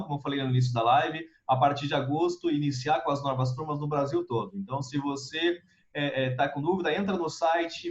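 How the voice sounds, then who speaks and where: A man with a mid-pitched voice hosts a live stream, reading out viewer messages and handing the floor to his guests. He sounds relaxed and slips in the occasional filler hesitation. His audio comes through dull and lo-fi.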